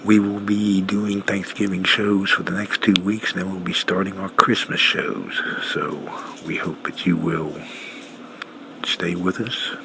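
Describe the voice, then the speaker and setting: A man hosting a radio show, talking casually in a halting, low-key, unscripted way. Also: fairly even intonation. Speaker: host of an old-time radio show. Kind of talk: casual unscripted sign-off talk. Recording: clearly noisy home-made recording.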